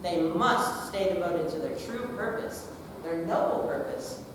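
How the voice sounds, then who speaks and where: A woman's voice: fairly low pitch, a little slow, natural voice. A teenage girl acting a pompous, easily panicked female scientist in a stage comedy, speaking in grand declamations. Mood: pompous, declamatory. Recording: distant echoey hall, noisy stage recording.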